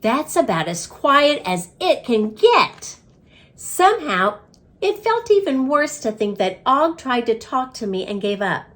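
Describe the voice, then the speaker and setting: Expressive woman reading a storybook, slowly. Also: sits high. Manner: dramatic, emphatic storytelling. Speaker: reading coach reading aloud. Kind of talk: expressive children's storybook read-aloud.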